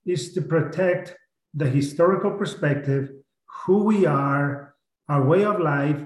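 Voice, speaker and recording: Earnest county commissioner in a roomy hall. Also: male voice, a little high. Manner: earnest, halting with many restarts. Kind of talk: public meeting discussion. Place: county meeting room. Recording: roomy meeting room sound.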